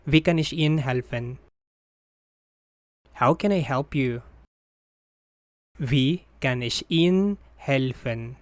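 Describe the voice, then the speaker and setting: A teacher reading short phrases for learners, saying each one deliberately and repeating it. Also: medium pitch, dry recording. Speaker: language teacher.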